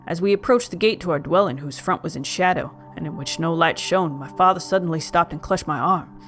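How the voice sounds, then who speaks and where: An actor playing a haunted young gentleman, narrating a gothic tale in formal, melancholy, literary speech. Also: medium pitch, fairly quick, slight background noise, natural voice. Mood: wistful, mournful. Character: young man Joel Hetman Jr, mournful, haunted, genteel narrator. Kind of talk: dramatic first-person gothic audio narration.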